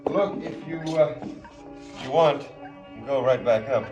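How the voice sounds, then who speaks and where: An actor playing a cynical, world-weary former scientist in a seventies radio drama, speaking in laconic, resigned lines. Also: male voice, medium pitch, unhurried, dull audio, over music, close to an everyday voice. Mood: terse, hesitant. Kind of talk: 1970s radio drama acting, terse dialogue.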